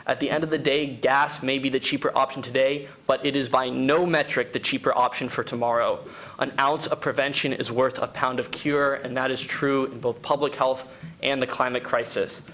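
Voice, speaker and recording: A man's voice: mid-range voice, little pitch movement, normal speed, a bit bright. Articulate man reading a prepared speech. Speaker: public commenter at a council meeting. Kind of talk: prepared speech read out, formal. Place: council meeting room.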